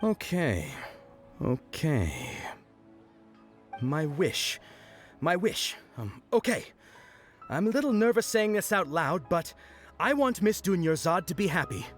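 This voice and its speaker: A man playing an earnest, bashful young festival worker in a video game, with a hesitant, expressive delivery. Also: a little high, takes it slowly, pauses often. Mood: friendly, nervous. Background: music.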